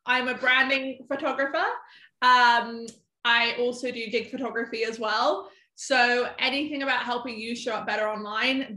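A chatty young woman in her twenties with a bright, forceful voice pitched a little high. She talks in a loose, rambling way, filling her sentences with "like". The sound is roomy and echoey. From half American, half English. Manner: chatty, rambling, heavy use of "like". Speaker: guest photographer. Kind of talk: casual podcast chat. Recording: echoey room.

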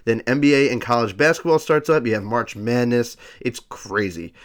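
A man's voice: medium pitch, normal speed. Stuffy-nosed man hosting a sports podcast. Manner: rambling, many fillers and restarts. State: congested, stuffy. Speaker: podcast co-host. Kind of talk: casual sports chat.

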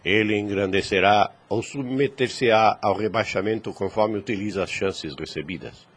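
Husky low-voiced wise fatherly priest. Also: moderate pace. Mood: solemn. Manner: solemn, preachy, formal archaic phrasing. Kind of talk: radio drama acting.

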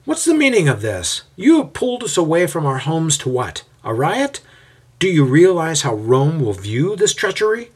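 A man's voice: mid-range voice, sing-song, moderate pace, few pauses, slightly rough, voice pushed up. A man voicing an indignant Roman city official in an audio story, speaking sharply and demandingly.

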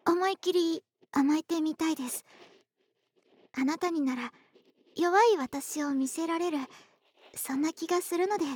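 An actress playing a gentle, polite, caring neighbor woman in an intimate ASMR voice drama, speaking closely to one listener. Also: very high-pitched. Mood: shy, grateful. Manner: polite, soft-spoken formal speech addressed to the listener. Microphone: close ASMR microphone, dry studio.

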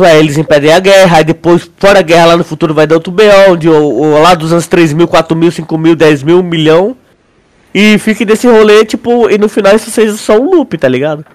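Young brazilian guy, pretty high voice, slangy casual podcast talk. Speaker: podcast co-host. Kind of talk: casual chat.

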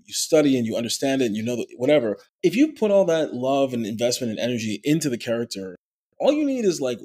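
A man, an actor, talking in a casual interview, animated and full of restarts, with a somewhat nasal voice. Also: medium pitch. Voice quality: nasal natural voice. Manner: animated storyteller, many fillers and restarts. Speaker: actor and voice actor, podcast guest.

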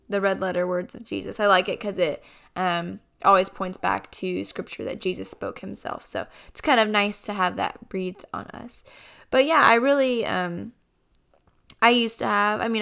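A woman hosting a casual devotional podcast, her voice a bit raspy as she gets over a cold, speaking in an earnest, reflective way. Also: medium pitch, a bit bright. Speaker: podcast host.

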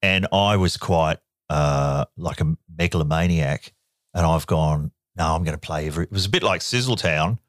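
Low aussie bloke, middle-aged, dry close mic, yarning away on a podcast. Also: male voice, dry recording. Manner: chatty storyteller, quick jokes. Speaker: podcast co-host. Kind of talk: casual chat. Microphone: studio mic.

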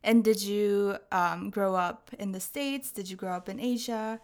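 A young woman in her twenties leads a relaxed talk with friends, asking questions and laughing easily. Her voice is smooth and clear at an ordinary pitch. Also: unhurried. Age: 20s. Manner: chatty, laughs often. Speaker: interviewer. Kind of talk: casual conversation.

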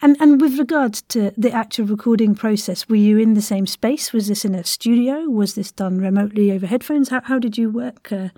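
A woman's voice: a little high, brisk, hardly any pauses, dark tone, dry recording, studio quality. A woman hosting a podcast interview in a casual, effusive and encouraging way. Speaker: podcast host, voice acting teacher.

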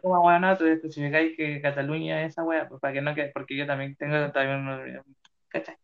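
A man's voice: a little high, level tone, fast talker, creaky. A young Chilean man playing an interviewer, who rambles and searches for words. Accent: Chilean Spanish. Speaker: mock interviewer. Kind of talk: casual role-played interview. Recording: muffled, dull sound.

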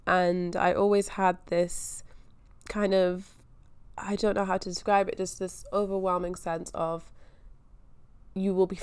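A woman's voice: fairly low pitch, clear, resonant tone, dry recording. A woman in her twenties from Scotland with a mostly neutral, faintly Scottish accent, talking chattily and thinking aloud as a podcast host. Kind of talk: casual solo podcast chat.

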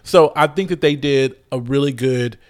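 A man in casual conversation, gushing about a show he loves with animated energy. He talks in bursts, restarting his sentences and cursing freely. His voice sits at an ordinary middle pitch. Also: moderate pace. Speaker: podcast co-host. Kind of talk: casual chat reviewing a TV show.

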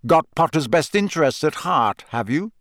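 A man's voice: on the high side, expressive, fairly bright tone, no echo, studio quality. Man doing a growling, scarred old professor. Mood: sardonic. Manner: barking, taunting, deliberate. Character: gruff, battle-scarred older male professor, sardonic and menacing. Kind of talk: audiobook character voice.

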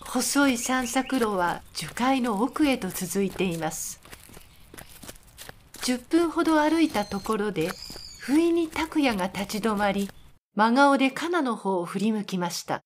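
Female narrator for audio drama, fairly high voice, scripted storytelling, over clearly audible ambient noise. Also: expressive, unhurried.

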